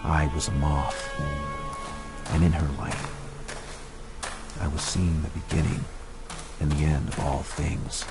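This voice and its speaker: A man voicing a brooding amnesiac narrator in a noir horror game, speaking in a hushed, half-whispered, slow way. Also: rumbling low, little pitch movement, heavily acted. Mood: wistful. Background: music.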